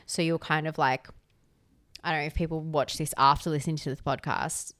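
A woman's voice: low-pitched, fairly even intonation, a little fast, fairly bright tone. A woman co-hosting a podcast in casual talk, chatty and full of "like" and "um". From Filipino heritage. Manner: chatty, fills with "like" and "um". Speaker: podcast co-host. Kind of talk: casual chat.

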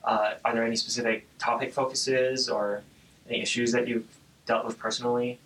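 A man's voice: mid-range voice, little pitch movement, a little fast, fairly bright tone, low-quality recording. Male podcast host, mid-range voice. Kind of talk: podcast interview hosting.

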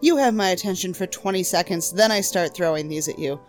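A woman's voice: lowish voice, animated, brisk, faint music behind, lightly acted. A woman voicing a rebellious thirteen-year-old girl with a snappish, dismissive way of talking.

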